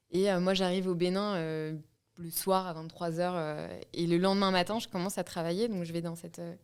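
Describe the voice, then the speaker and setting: A woman's voice: fairly low pitch, clean tone. A young woman telling a story as a podcast guest, hesitant with frequent fillers. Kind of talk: casual storytelling.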